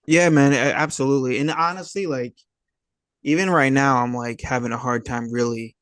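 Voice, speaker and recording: Halting, laid-back American man in his thirties. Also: medium pitch, fairly even intonation, a bit bright, no room sound, clean studio sound. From Long Island, New York, USA. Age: 30s. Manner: halting and searching, laid-back slangy, often saying "man" and "you know". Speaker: guest, actor. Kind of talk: casual interview chat.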